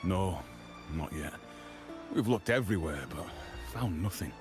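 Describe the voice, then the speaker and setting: Deep-voiced, animated gangster henchman. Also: measured pace. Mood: jovial, encouraging. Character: easygoing senior gangster agent, boastful, friendly. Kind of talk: video game character acting. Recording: game music underneath.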